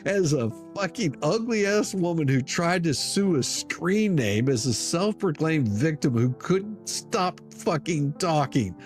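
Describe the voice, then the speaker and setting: Mocking, higher-voiced man over music. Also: lively intonation, slow pace, hardly any pauses. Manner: mocking, theatrical, profane. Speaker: online commentator. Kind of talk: dramatic reading of a scathing open letter. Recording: music under the voice.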